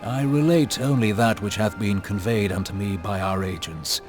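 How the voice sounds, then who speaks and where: A male actor as a solemn scholar of a fantasy realm, ceremonious and deferential. His voice is on the low side and his wording is ornate and archaic. Clear music runs behind him. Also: moderate pace, lightly acted. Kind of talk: video game cutscene acting.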